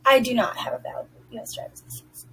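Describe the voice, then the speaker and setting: A woman's voice: on the high side, very animated, fast talker, gravelly, bright, forceful tone, a little hiss or hum, low-quality recording. A young woman giving polite, agreeable answers in a conversational phone interview, with informal phrasing. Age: young adult. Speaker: job applicant being interviewed.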